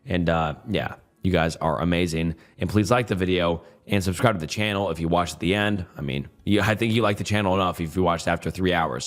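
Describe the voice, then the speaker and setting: Strained-voiced man narrating Reddit posts. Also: low voice. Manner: casual, chatty delivery with fillers. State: strained, partly losing his voice. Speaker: YouTube story narrator. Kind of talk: reading Reddit posts aloud.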